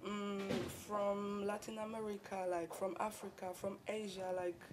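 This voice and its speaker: A woman's voice: mid-range voice, flat delivery, unhurried, noisy background. A young woman from Poland with a Polish accent, answering interview questions as an international student, filling her talk with "like" and "you know.". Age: young adult. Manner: chatty, many "like" and "you know" fillers.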